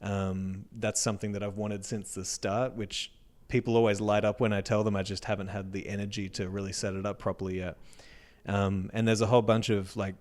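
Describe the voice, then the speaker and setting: An Australian man with a low-set voice talks through his plans as a guest on an interview show. He is earnest and reflective, and his sentences fade out softly at the ends. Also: level tone, a little fast. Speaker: guest, music educator. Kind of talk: casual interview talk.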